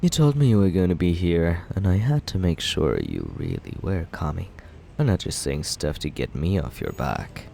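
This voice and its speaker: A man playing a smug young villain with a deep, low voice, teasing and unbothered as he talks his way out of everything. He keeps it relaxed and self-justifying, with a faint hiss sitting under the ASMR roleplay. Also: normal speed, vocal fry, heavily acted. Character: cocky young male villain courting the listener.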